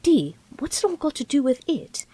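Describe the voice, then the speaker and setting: An eccentric, brainy time traveller, played by a woman, speaking in a high, swooping voice. She is breezy and whimsical, lecturing like an audio drama heroine, with some background noise underneath. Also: very animated, moderate pace, warm tone. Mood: explaining. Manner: whimsical, chatty, lecturing, quaint turns of phrase.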